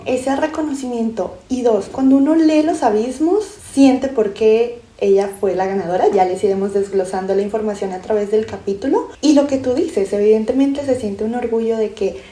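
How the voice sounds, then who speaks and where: Young Colombian woman with a Pasto accent, enthusiastic podcast co-host, very dark tone, roomy sound. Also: on the high side, moderate pace, hardly any pauses. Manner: enthusiastic, explanatory, frequent restarts. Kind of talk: casual book-discussion podcast.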